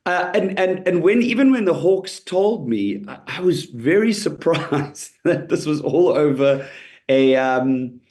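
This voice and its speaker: A man's voice: a little high, lively intonation, unhurried, a little mellow. Chatty comedian man telling a story. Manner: chatty storytelling, laughs easily, many restarts. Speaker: comedian guest. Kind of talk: interview.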